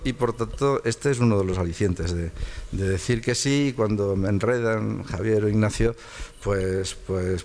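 Hesitant older lecturer from Catalonia. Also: male voice, fairly low pitch, a little mellow, slight background noise. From Catalonia, Spain. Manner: hesitant, repeats words while searching for phrasing. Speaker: guest lecturer. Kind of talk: conversational public lecture.

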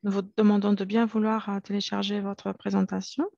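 A woman with a cold, interpreting live into French for a webinar, halting with frequent hesitations. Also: mid-range voice, little pitch movement, gravelly, darkish tone, dry recording, muffled recording. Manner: halting, many filler hesitations. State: has a cold. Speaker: simultaneous interpreter.

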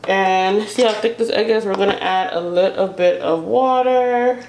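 A woman's voice: medium pitch, moderate pace, a little mellow. An American woman chatting casually and informally as she cooks, thinking aloud in an unscripted way. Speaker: home cook vlogger. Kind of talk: casual chat while cooking. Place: home kitchen, indoors. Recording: echoey kitchen, noisy stove sizzle. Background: sizzling pan, clicks, stirring.

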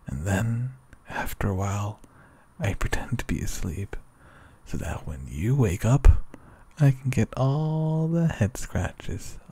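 A young man with a whispery voice speaks softly and sleepily in a teasing boyfriend roleplay, often breaking into quiet laughs. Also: fairly low pitch, lively intonation, slow pace, husky. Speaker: ASMR roleplay performer. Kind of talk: soft-spoken ASMR boyfriend roleplay.

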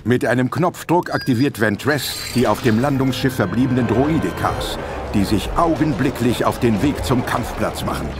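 A man's voice: medium pitch, lively intonation, normal speed. A man narrating an audio drama in a dramatic, scripted style. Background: music.

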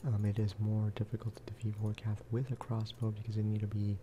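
A man giving soft-spoken ASMR commentary over a game, casual and rambling. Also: fairly low pitch, completely flat delivery, fast, noisy background. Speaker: gaming video creator.

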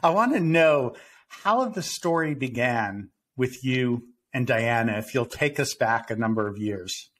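A man in his fifties hosting a podcast interview over a video call from home, with a steady, earnest delivery. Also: mid-range voice, unhurried. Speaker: podcast host.

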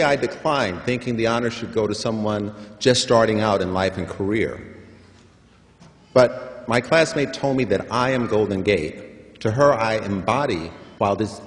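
Older man giving a graduation speech in a hall. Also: lowish voice. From San Francisco. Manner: measured, emphatic, builds to stressed points. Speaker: commencement speaker. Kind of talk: formal commencement address. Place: large hall at a graduation ceremony. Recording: long hall reverb.

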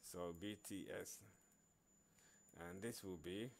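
A man narrating an informal programming screencast in a halting way, repeating words as he works. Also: deep voice, steady tone, creaky, slight background noise. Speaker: programming tutorial presenter. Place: screen recording voice-over.

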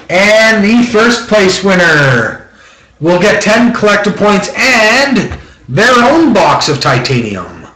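A man with a slightly high voice hosts a card draw at a tabletop. He takes his time, announcing each step in a methodical, repetitive pattern. The small room around him adds an audible echo. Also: fairly bright tone. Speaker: host of a trading-card box-break auction. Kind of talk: running card-deal commentary. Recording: roomy echo.

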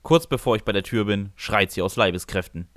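A man with a fairly low voice narrates a comic first-person story. The sound is dry and close, with very little room around him. He reads from a script as the storyteller of a fan-made audio tale. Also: brisk, a bit bright, no room sound.

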